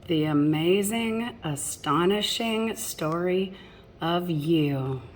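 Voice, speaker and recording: A woman's voice: lowish voice, expressive, takes it slowly, slight background noise. A woman reading a picture book aloud to children expressively, addressing young listeners directly with chatty asides. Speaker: storybook reader.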